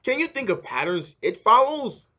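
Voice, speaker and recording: A man's voice: very high-pitched, sing-song, moderate pace, pushed and bright, pitched above the performer's own voice, full character voice. A man voicing an encouraging, instructive male schoolteacher in a children's storybook.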